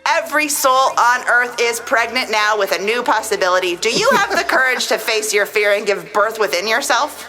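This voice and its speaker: A woman's voice: a little high, expressive, moderate pace, few pauses, pushed and bright, soft background music, poor audio. A woman co-hosting a podcast, speaking in a theatrical, emphatic and sardonic way. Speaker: podcast co-host. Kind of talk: mock-dramatic reading of tweets, mixed with casual chat.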